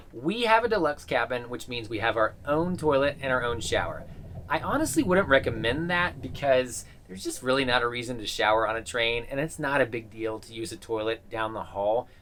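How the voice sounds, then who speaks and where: Lively wry man touring a train cabin. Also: medium pitch, a little hiss or hum. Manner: dry joking asides. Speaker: travel vlogger. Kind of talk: casual tour narration. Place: small sleeper train cabin.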